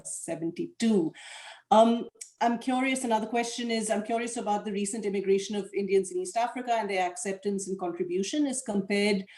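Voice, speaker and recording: Woman moderator on a video call, mellow and kinda dark, calm and thoughtful, echoey room. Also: medium pitch. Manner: measured, thoughtful, reads audience questions aloud. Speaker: panel moderator. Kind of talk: online panel discussion.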